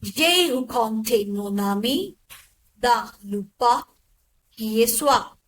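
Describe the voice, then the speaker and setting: Slow, clearly enunciating woman. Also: on the high side, animated, poor audio. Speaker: language lesson model speaker. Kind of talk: slow model repetitions of sentences.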